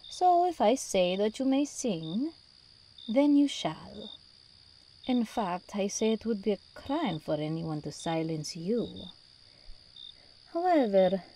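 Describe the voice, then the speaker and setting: A woman's voice: medium pitch, dark tone, a little hiss or hum, poor audio. A woman playing a regal, seductive demon princess in an ASMR roleplay, theatrical and teasing, commanding yet tender with her endearments. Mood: imperious, grand.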